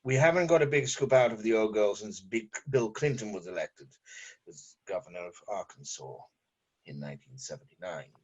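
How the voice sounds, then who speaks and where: A man's voice: fairly low pitch, lively intonation, moderate pace, breathy edge, dull audio. A man playing a jaded, sarcastic newspaper journalist in an amateur script table read, with theatrical delivery. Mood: bored sarcasm. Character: sardonic, jaded male newspaper journalist, drunk when young.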